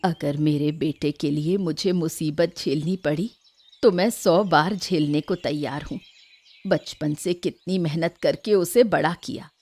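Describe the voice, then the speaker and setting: Woman acting a meek old mother-in-law, lower-pitched. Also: a little slow, a little mellow. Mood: gentle, stoic. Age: older. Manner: pleading, self-effacing. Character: meek, long-suffering old mother-in-law, devoted to her son. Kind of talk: Hindi audio story acting.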